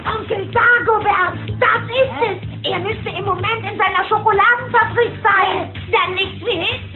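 Young cartoon duck boy, squeaky high voice, eager, plucky, muffled with loud music. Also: moderate pace. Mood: excited. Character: plucky, excitable young boy duckling nephew. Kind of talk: cartoon audio drama acting. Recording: muffled, roomy old cassette, music behind.

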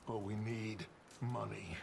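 An actor playing a charismatic, middle-aged American outlaw gang leader with a persuasive, oratorical manner in a video game. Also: male voice, lowish voice, slow, vocal fry, background noise. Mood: reassuring, persuasive. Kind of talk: dramatic video game acting.

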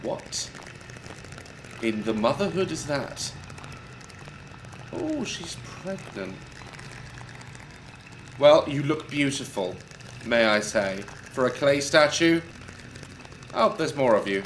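A man's voice: medium pitch, lively intonation, slow, pauses often, slight background noise, rough recording. A man in his thirties commenting jokily on a horror game, yelping at scares, on a headset mic. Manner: jokey, yelps and shouts at scares. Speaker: gaming youtuber.

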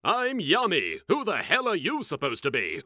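Arrogant young anime hero, forceful and sweeping delivery, pompous and theatrical, parody style. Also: male voice, fairly high pitch, wildly swooping pitch, moderate pace, no echo, studio quality, full character voice. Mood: haughty, smug. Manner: pompous, theatrical, haughty, over-enunciated. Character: arrogant, pompous young male anime duelist in a recording booth. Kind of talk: comedic parody voice acting. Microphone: close studio microphone.

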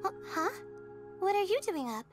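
An actress voicing a weary, dreamy young student girl in an anime game trailer, with a soft voice. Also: extremely high pitch, big pitch swings, moderate pace. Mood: drowsy. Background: prominent trailer music.

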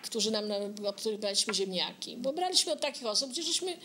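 A woman's voice: a little high, expressive, fast talker, background noise. An older woman telling anecdotes conversationally, addressing her listener directly and quoting bits of dialogue. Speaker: local resident sharing memories.

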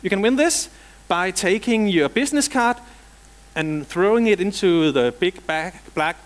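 A man from Denmark with a Danish accent presents at a software conference. His voice sits a little high, and he slips casual, dry jokes between the technical points. He is middle-aged and speaks on a lapel mic in a big hall. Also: lively intonation, a little slow. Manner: dry joking, casual asides.

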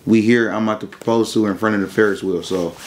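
A man's voice: fairly low pitch, steady tone, fast talker, slight background noise. A young man telling a personal story as an interview guest in a casual, colloquial, matter-of-fact way. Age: young adult.

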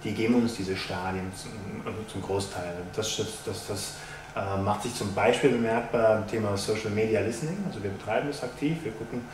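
Guy in his 30s talking sponsorship, lots of ähms and restarts, lowish voice, echoey room, faint hiss. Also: steady tone. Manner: measured, businesslike, many hesitations and restarts. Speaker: sponsorship executive. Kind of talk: corporate interview answers. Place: stadium lounge with glass walls, indoors. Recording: roomy, distant mic, faint hiss.

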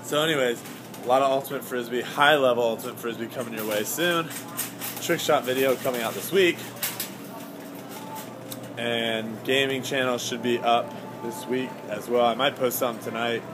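A man's voice: medium pitch, fairly even intonation, moderate pace, over music. A young man rambling casually to a camera as he goes about errands, chatty, exclamatory and easily sidetracked. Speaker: vlogger. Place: on the go, car then grocery store. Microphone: handheld camera he sets down. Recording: clear ambient and vehicle noise.

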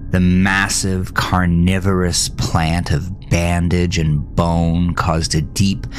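A man narrating a scripted first-person horror story in a brooding, deliberate, dramatic manner. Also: sits low. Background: music.

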